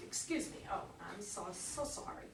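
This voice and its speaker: A woman's voice: mid-range voice, expressive, normal speed, close to an everyday voice. A woman playing a flustered, apologetic, puzzled character in a staged play reading. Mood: flustered, defensive. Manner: hesitant, puzzled. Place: echoey room at a live reading. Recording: echoey room, distant mic, faint noise.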